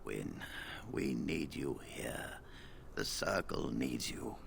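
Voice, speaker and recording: An actor playing an elderly male wizard leader, grave and weary, speaking in a measured, solemn way. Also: very deep voice, very animated, vocal fry, a little hiss or hum, exaggerated voice. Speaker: first enchanter.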